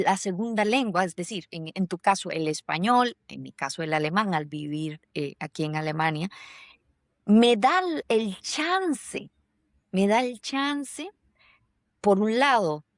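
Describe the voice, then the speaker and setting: A woman's voice: mid-range voice, no room sound. Woman podcast host, thoughtful, lots of ums and restarts, dry close sound. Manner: reflective, many fillers and restarts. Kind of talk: conversational podcast talk. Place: lives in Germany.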